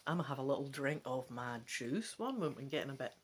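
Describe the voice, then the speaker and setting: A man's voice: medium pitch, expressive, quick pace, heavy background noise. A man giving a dramatic narration as he plays through a fantasy gamebook.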